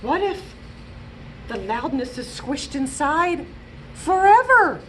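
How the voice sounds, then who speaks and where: A woman storytime reader with a high, very lively voice. She goes slowly and deliberately, stressing words for small children, with slight noise in the recording. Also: rough recording. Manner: deliberate, expressive, emphasizing words for young children. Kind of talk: read-aloud children's storytelling.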